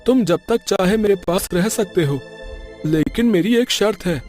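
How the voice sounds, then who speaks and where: A man's voice: sits high, moderate pace, a little mellow, natural voice. A man playing a plain-spoken, chatty village oil-presser in a Hindi cartoon moral story. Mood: familiar, bossy. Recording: music behind the voice.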